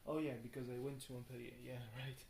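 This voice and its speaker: A tired young man rambles casually through a video diary, halting and thinking aloud, often questioning himself. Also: medium pitch, flat delivery, gravelly, a little mellow. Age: young adult. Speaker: video blogger. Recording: roomy echo, faint background noise.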